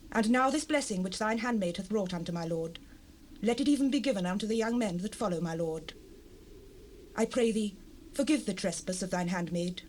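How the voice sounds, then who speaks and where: High-voiced, pleading humble wife played by an actress. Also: female voice, close to an everyday voice, rough recording. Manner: earnest, supplicating, archaic formal diction. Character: humble, pleading wife bowing before a warlord. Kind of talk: dramatized King James Bible audio drama. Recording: unprocessed cassette rip with noise.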